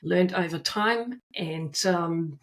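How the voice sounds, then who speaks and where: A woman's voice: lowish voice, slow pace. A middle-aged woman from Austria with a mixed Austrian, Danish and New Zealand accent, a podcast guest chatting in a self-deprecating way with many fillers. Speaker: guest, agile coach and author. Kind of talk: casual podcast conversation.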